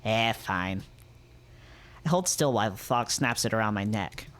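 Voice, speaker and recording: A man's voice: lowish voice, lively intonation, fairly quick. A man hosting a visual novel read-through, moving between narration and casual chat. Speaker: host of visual novel readings.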